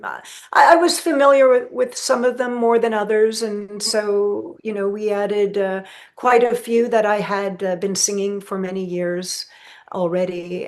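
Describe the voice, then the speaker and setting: A woman of medium pitch gives casual answers as a podcast guest. Her phrasing is measured and halting, feeling tentatively for each next word.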